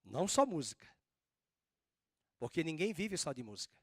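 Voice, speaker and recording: A man preaching a sermon as pastor, with a drawn-out Northeastern Brazilian accent and slow, emphatic stresses. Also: on the high side, big pitch swings, husky, no echo, clean studio sound. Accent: Northeastern Brazilian Portuguese.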